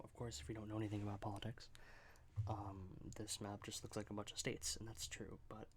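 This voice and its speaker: Low-voiced guy murmuring asmr style, pretty monotone, lots of ums, faint hiss behind. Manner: soft, halting delivery with many fillers, drifting into whispers. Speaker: ASMR creator. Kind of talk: soft-spoken ASMR political commentary.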